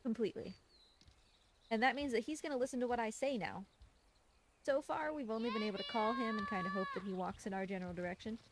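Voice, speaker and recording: Casual woman gamer over clear background noise. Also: fairly high pitch, fast. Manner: informal, explanatory, addresses game creature affectionately. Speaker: speedrunner commentating on own game run. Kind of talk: live game commentary, casual chat.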